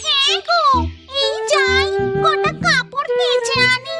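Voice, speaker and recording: Cartoon she-ghost: extremely shrill, obliging yet grumbly, music loud behind. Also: steady tone, slow pace. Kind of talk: cartoon character voice acting.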